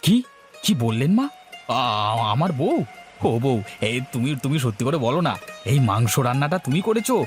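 A man's voice: mid-range voice, big pitch swings, normal speed, close to an everyday voice. Man acting a folksy, sheepish village husband over music. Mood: disbelieving. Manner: folksy, exclaiming, stammering, sheepish. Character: henpecking but soft-hearted village husband, food-loving, sheepish. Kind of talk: cartoon voice acting.

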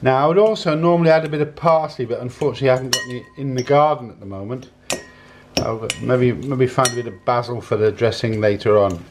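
A man in his sixties giving a casual, unhurried cooking demonstration in a home kitchen, often repeating his phrases as he goes. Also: mid-range voice. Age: 60s. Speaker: home cook, presenter. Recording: clattering dishes, clicks, kitchen noise.

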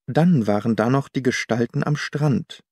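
A man narrates a literary story in a measured, precise reading. His pitch sits in the middle range. The recording is dry and close, like a studio audiobook. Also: darkish tone, dry recording. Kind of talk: scripted literary narration, first-person detective story.

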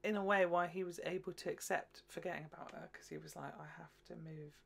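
Young man, creaky voice, easygoing co-host in casual reaction chat. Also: on the high side, fairly even intonation, fairly quick, a bit bright, slight background noise. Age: 20s or 30s. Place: small furnished room at home. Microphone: desk microphone in front.

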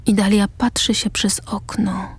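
A woman reading scripted stage directions as the narrator of a drama, with a husky voice. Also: mid-range voice, level tone, a little slow, no room sound.

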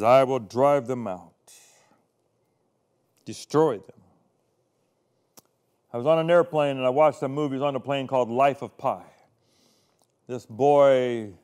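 An older man preaching a sermon on a studio set, moving between quiet asides and loud, emphatic scripture readings, recorded on a lapel mic. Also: medium pitch, clear, resonant tone. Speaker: pastor.

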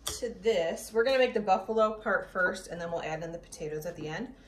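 A woman's voice: on the low side, fairly quick. A young woman chatting casually in a home kitchen while she demonstrates a recipe and tastes as she goes. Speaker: cooking vlogger. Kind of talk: casual cooking demo. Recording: echoey kitchen, voice a bit far from mic, background noise.

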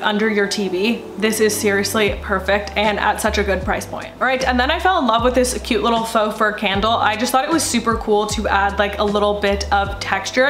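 A woman's voice: medium pitch, a bit bright. Chatty woman in a roomy living room. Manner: chatty, enthusiastic, frequent "like" fillers. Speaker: home decor vlogger. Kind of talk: casual shopping haul chat. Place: indoors, living room with sofa. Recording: roomy echo.

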